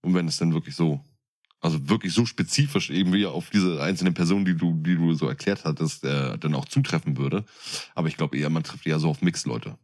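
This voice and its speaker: A man's voice: very deep voice, fast talker, a little mellow. A man with a German accent talking casually and informally as a podcast co-host.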